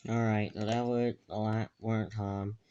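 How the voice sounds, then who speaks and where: A man hosting casually and unscripted, introducing clips in a halting way and repeating words. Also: lowish voice, level tone, mellow, a little hiss or hum. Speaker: video host introducing clips. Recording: dull lo-fi home recording.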